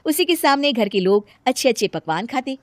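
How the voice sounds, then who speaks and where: A woman narrating a scripted animated moral tale as a storyteller. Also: high voice, fairly quick, no room sound.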